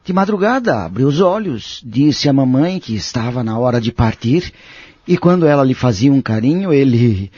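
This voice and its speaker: An actor playing a courteous, grieving son in an audio drama, speaking politely and gently. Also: male voice, medium pitch, lively intonation, moderate pace, breathy edge, no echo. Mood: subdued, sad. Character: courteous, grieving son bearing sad news. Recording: dull lo-fi audio with music behind.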